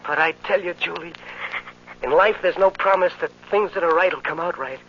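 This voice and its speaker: A man's voice: high voice, a little fast. An actor playing a tender, resigned young man condemned to death in 1940s radio drama, earnest and emotional, with plain working-man speech. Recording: muffled, noisy old radio recording.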